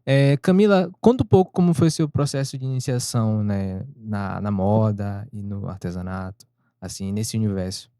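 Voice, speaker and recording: A man co-hosts a podcast and asks his guest questions in an easygoing, slightly hesitant way. His voice is mellow and dark-toned, recorded close with no room sound. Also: on the low side, normal speed, no echo. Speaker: podcast co-host. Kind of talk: casual interview questions.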